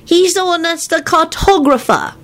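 Woman as a sulky little wizard boy, high-pitched. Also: measured pace, a bit bright. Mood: sniffly.

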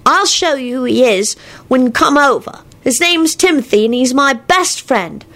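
A woman's voice: high-pitched, expressive, moderate pace, a bit bright, pitched above the performer's own voice. A woman voicing a sulky, cheeky young wizard boy in a storybook, blurting things out in a childish whine. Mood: complaining.

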